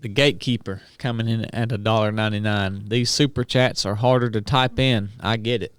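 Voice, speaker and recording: A man co-hosting a casual podcast, reading donor names and messages aloud in a steady list. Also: on the low side, brisk, clear, resonant tone, dry recording, clean studio sound. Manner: reads out super chat names and amounts in a steady list.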